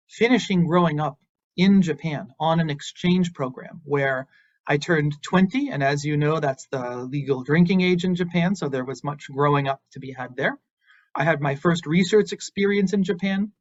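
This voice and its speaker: Guy giving an online lecture, bit high voice, earnest and encouraging, kinda muffled audio. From Boston, United States. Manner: earnest and encouraging, with some fillers. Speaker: university lecturer.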